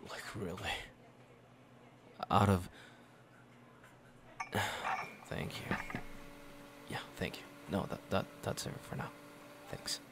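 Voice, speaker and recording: Very deep, slow, halting young man, acted. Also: wildly swooping pitch, noisy background, heavily acted. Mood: tense, subdued. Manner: halting, stammering, sighing. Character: young man, jealous, flustered childhood best friend. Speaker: roleplay audio performer. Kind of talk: intimate ASMR roleplay acting.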